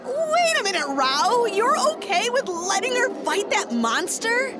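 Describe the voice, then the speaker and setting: A woman's voice: extremely high pitch, big pitch swings, measured pace, music in the background, heavily acted. A woman playing a feisty, brash young female fighter in an audio drama. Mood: alarmed. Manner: brash, challenging.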